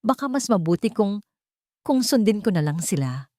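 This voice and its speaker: A Filipino-accented woman voicing a heartbroken but resolute expectant mother in an audio drama, speaking quietly and emotionally. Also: mid-range voice, big pitch swings, moderate pace, no room sound, clean studio sound, natural voice. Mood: despairing.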